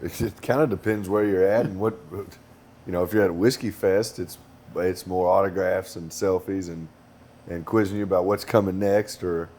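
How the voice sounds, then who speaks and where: Deep-voiced distiller, laid-back panel talk, feeling his way through each point. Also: moderate pace. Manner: relaxed, thinking aloud with restarts.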